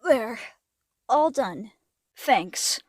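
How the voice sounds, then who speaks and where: A woman playing a gentle, polite pony princess in an audio drama. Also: on the high side, expressive, very slow, husky, no room sound, studio quality, exaggerated voice. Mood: gently cautioning. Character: kindly pony princess mentor and teenage alicorn princess.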